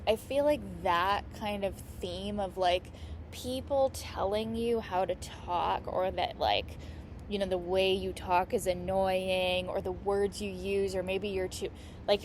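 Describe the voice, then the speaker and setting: A woman with a mid-pitched voice and a touch of vocal fry talks through an astrology lesson in a casual, earnest way. She sounds reassuring, with small mouth clicks now and then. A faint hum of passing traffic sits behind her. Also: a little mellow. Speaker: astrologer and healing coach. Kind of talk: casual astrology teaching monologue.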